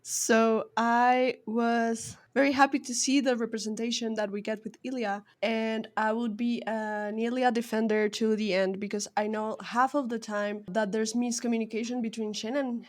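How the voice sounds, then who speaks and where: Higher-pitched woman. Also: flat delivery, moderate pace, hardly any pauses. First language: Spanish. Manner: thoughtful, explanatory, academic. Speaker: podcast co-host. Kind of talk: casual podcast chat.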